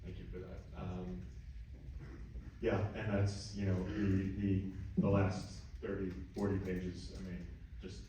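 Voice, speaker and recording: A man's voice: low voice, level tone, slow pace, dark tone, slight background noise. A man moderating a panel, asking questions in a hesitant, rambling way. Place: university lecture room. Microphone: distant room recording. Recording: echoey room from far off.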